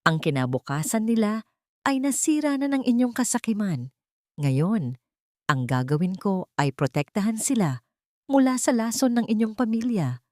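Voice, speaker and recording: A woman's voice: mid-range voice, sing-song, moderate pace, a little mellow, dry recording, clean studio sound, voice pushed up, close to an everyday voice. A woman voicing a wealthy young father who speaks coldly and firmly to protect his family. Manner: cold, firm, threatening.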